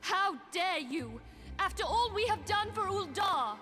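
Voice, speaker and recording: Piercing, proud fantasy sorceress. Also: female voice, very high-pitched, expressive, measured pace, pushed and bright, heavily acted. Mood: outraged. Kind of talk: dramatic fantasy video game acting. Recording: reverberant hall, faint music.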